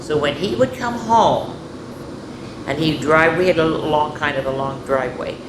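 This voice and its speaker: An older woman hosts a children's storytime, reading aloud and chatting in an expressive, folksy way straight to young listeners. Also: deep voice, moderate pace. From rural United States, farm. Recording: echoey room with background noise.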